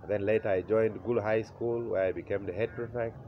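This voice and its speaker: A man in his forties from Uganda with a Ugandan accent, telling his life story as an interview guest, pausing often with "uh" and chuckling at memories. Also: lowish voice, fairly even intonation. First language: Acholi. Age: 40s. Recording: slightly muffled with faint noise.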